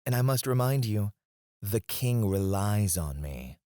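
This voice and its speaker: A man's voice: fairly low pitch, animated, measured pace, mellow, no room sound, studio quality. A man playing a cocky, disdainful royal alchemist in a scripted fantasy audio roleplay, speaking in a formal, haughty, theatrical way. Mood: indignant, taunting. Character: cocky, disdainful male royal alchemist, sharp-witted and proud. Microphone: close, dry studio mic.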